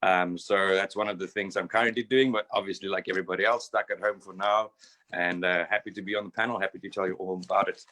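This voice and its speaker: A man with a South African accent and a very low voice speaks easily on a panel. His manner is relaxed and unhurried, with ums between his thoughts. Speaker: panel guest.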